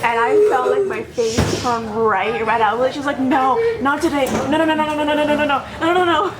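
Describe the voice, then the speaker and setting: A woman's voice: high voice, steady tone, brisk, over a noisy crowd, rough recording. A young adult woman, a student interviewing a minister, speaking in a casual, chatty way. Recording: loud background noise.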